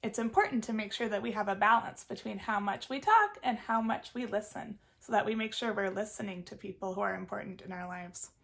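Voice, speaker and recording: A woman reading a picture book aloud as a teacher, expressive and putting questions directly to young listeners. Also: medium pitch, a little fast, creaky, few pauses. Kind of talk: animated children's read-aloud with questions.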